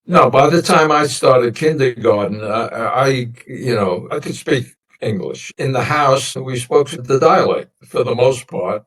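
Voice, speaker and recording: A man in his nineties whose first language was a Sicilian dialect, slowly recounting family history as an interview guest in a meandering, reflective way. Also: medium pitch, animated, fairly bright tone. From United States. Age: 90s. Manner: meandering, reflective storytelling with many pauses. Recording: voice distant from the mic.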